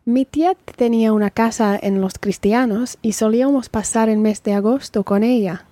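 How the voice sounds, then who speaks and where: A woman voicing a chatty character in a scripted textbook dialogue, speaking in a clear, measured way. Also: fairly high pitch, mellow, no echo, lightly acted. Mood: friendly.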